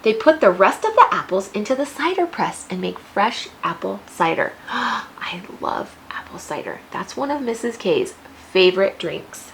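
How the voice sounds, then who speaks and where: An American woman teacher reading a picture book aloud to young children, expressive, with questions and teaching asides. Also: mid-range voice, normal speed, vocal fry, hardly any pauses, a little hiss or hum.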